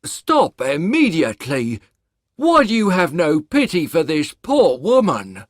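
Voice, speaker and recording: A man's voice: a little high, huge pitch swings, measured pace, no echo, studio quality, heavily acted. A man playing a stern but kindly woodcutter and foster father in a storybook tale, emphatic and reproachful. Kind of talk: dramatised storybook reading.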